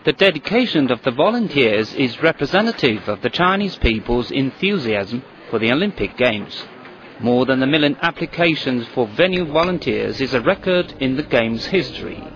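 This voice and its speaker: A man narrating a television news report in a measured, even scripted delivery. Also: mid-range voice. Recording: dull lo-fi broadcast audio with crowd and street noise.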